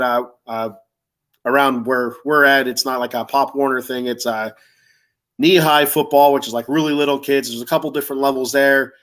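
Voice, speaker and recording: A man's voice: medium pitch, fairly bright tone. A middle-aged man with a stuffed-up nose chats informally and ramblingly as host of a casual sports fan livestream. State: stuffed up with a cold. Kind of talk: casual livestream chat, greeting commenters.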